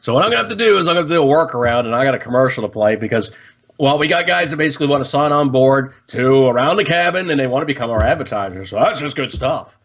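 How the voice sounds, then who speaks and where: A man's voice: medium pitch, expressive, fast talker, a bit bright. An American man hosting a casual, unscripted show, explaining things to viewers in a folksy, slangy, chatty way and often checking in as he goes. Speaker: host of a hobby gun and gadget show.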